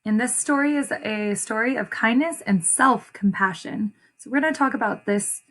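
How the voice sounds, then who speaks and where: A woman reading a picture book aloud like a teacher, deliberate and expressive, pausing to explain and repeat phrases for young listeners. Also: a little high. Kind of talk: children's read-aloud with short explanations.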